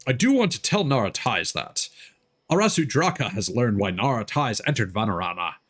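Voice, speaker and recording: A man's voice: mid-range voice, sing-song, normal speed, fairly bright tone, pitched below the performer's own voice. A man voicing small, earnest, childlike forest spirits as he reads game dialogue aloud in a singsong storybook way. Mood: earnest, grateful.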